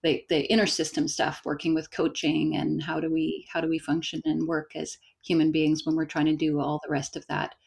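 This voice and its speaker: Low-voiced thoughtful woman guest. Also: level tone, gravelly, darkish tone. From Canada. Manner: unhurried, thoughtful, wry humor. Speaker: guest, facilitator and coach. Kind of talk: casual podcast conversation.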